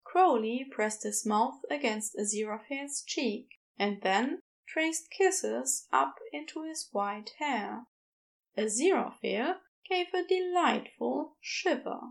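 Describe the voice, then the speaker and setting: A woman's voice: sits high, expressive, takes it slowly, gravelly, poor audio. A woman reading scripted fiction as a narrator. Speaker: podfic narrator.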